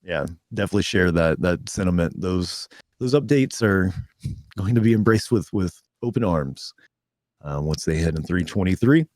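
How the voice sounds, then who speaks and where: A man with a lower-pitched, slightly hoarse voice, co-hosting a podcast in an easygoing way. He sounds laid-back as he reads out listener comments. The recording is dry and close, with no room sound. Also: expressive, normal speed, darkish tone, no room sound. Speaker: podcast co-host. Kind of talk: casual chat.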